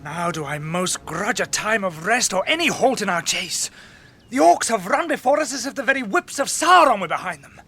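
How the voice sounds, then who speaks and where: An actor playing a keen, graceful elf hunter with a lyrical, formal delivery in a radio drama. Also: male voice, high-pitched, animated, moderate pace, sharp tone, breathy edge, full character voice. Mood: anxious, urgent.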